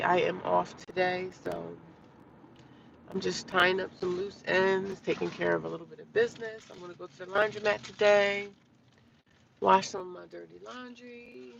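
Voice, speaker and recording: A woman's voice: fairly low pitch, creaky. A congested, stuffy-nosed woman from the United States chatting casually with her viewers, repeating herself and greeting them as she starts her day. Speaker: vlogger. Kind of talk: casual live-stream chat to viewers. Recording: dull lo-fi sound from a distance with loud outdoor traffic. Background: street and car noise.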